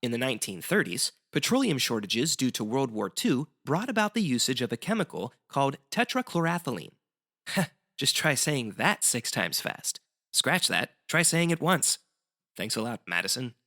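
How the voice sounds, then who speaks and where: Bright, animated man explaining history. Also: mid-range voice, normal speed. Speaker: guest explaining history facts. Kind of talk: semi-scripted educational banter.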